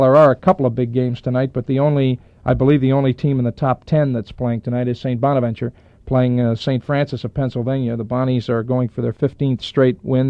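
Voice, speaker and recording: An American man gives off-the-cuff sports talk with a creaky, gravelly edge. He is matter-of-fact and wordy, often hesitating as he goes. The sound is a dry, dull 1960s AM radio recording. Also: medium pitch, no room sound.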